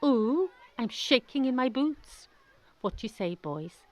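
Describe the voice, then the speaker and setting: A woman's voice: high-pitched, very animated, measured pace, voice pushed up. A woman playing a swaggering teenage schoolboy bully with a Welsh accent, taunting in a radio drama. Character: cocky, jeering fifteen-year-old schoolboy bully.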